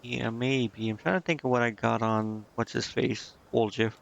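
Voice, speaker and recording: A man's voice: mid-range voice, little pitch movement, normal speed, creaky. A man thinking aloud in casual, indecisive gameplay commentary, muttering to himself as he streams. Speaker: game streamer.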